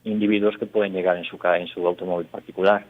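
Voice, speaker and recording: A man's voice: low-pitched, little pitch movement, quick pace. A man from Spain, an urban mobility expert, speaking by phone in a radio interview, explaining at length with many hesitations and fillers. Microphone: telephone. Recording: tinny phone line.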